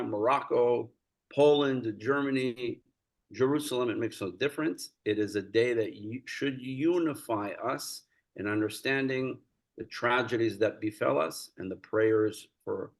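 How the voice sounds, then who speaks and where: Earnest gravelly-voiced man lecturing. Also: medium pitch, poor audio. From United States. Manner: earnest, storytelling, gets animated and laughs while recounting. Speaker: rabbi, guest speaker. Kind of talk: lecture-style talk.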